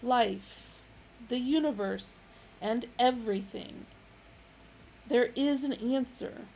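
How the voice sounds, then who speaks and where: A ponderous male supercomputer from a storybook, put on by a woman reader. He speaks in grand, measured pronouncements, pitched up and slow, with a gravelly edge. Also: darkish tone, slight background noise, poor audio. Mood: solemn.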